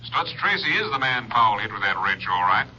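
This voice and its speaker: An actor playing a brisk, assured police captain making his report in a 1950s radio drama. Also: mid-range voice, sing-song, close to an everyday voice. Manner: businesslike, assured. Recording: noisy, dull old radio recording.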